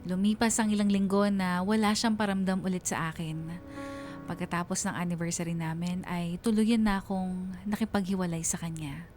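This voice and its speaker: A woman's voice: fairly low pitch, level tone, normal speed, a little mellow, soft background music, natural voice. An actress playing a heartbroken young woman, narrating her love story confidingly in a Tagalog radio drama. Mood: wistful, resigned.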